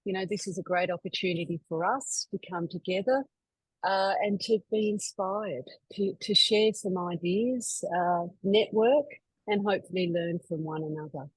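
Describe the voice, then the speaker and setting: A woman with a fairly low, husky voice hosts a webinar over a video call. She talks in a chatty, meandering way, full of "um"s and restarts. The sound is dry and close, with no room echo. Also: moderate pace. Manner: chatty, with many fillers and restarts. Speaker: webinar host. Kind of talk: hosting an online members' webinar.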